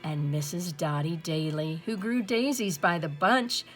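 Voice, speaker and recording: A woman reading a rhyming picture book aloud as an expressive storyteller. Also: lowish voice, measured pace, soft background music.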